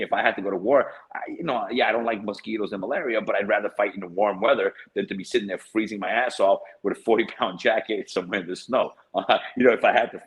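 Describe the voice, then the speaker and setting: A fast-talking man with a husky, low voice, chatting casually as a guest on a video call. He rambles and keeps checking in with "right?" as he talks. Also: rough recording. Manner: rambling, asks "right?" often. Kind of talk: casual chat.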